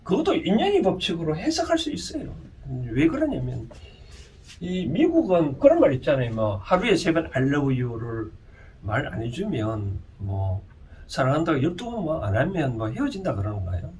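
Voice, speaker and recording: Male lecturer, Gyeongsang Korean accent, very lively intonation, halting informal delivery full of rhetorical questions, recorded at a distance from the mic. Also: a little high. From Gyeongsang region, South Korea. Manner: halting, often asks listeners rhetorical questions. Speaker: lecturer and counsellor. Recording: distant mic with faint background noise.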